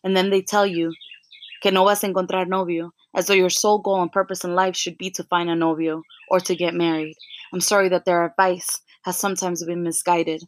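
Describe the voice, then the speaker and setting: A young woman hosting a podcast, softly introducing and then reciting her own spoken word poem in a measured way. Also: fairly low pitch, little pitch movement, a little hiss or hum. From United States. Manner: soft-spoken, frequent ums, measured poetic delivery. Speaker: podcast host.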